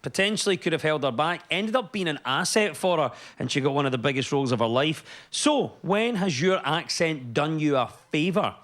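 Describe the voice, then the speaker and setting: A man hosts a studio comedy quiz, his voice sitting high and ringing bright. He moves briskly from setup to gag, with a live audience around him. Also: expressive. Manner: brisk and jokey, reads setups then lands gags. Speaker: panel show host. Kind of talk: comic quiz hosting, punchline delivery.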